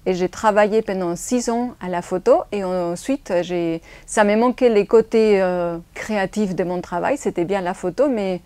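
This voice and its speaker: A woman with a Spanish accent answers interview questions in plain, slightly non-native phrasing. Her voice is mellow and warm. The recording is dry and close. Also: medium pitch, normal speed, hardly any pauses, clean tone, dry recording. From Spain. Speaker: graphic designer and photographer.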